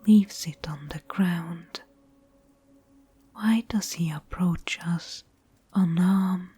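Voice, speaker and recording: A woman playing a mysterious, protective fantasy guide in a whispered ASMR roleplay, breathy, measured and solemn. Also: on the low side, warm tone, dry recording, exaggerated voice. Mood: hushed, wary.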